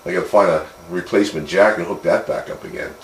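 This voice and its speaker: Deep-voiced man in an echoey room. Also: steady tone, fast. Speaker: radio hobbyist. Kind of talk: casual chat over radio. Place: two-way radio conversation. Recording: roomy echo, faint radio noise.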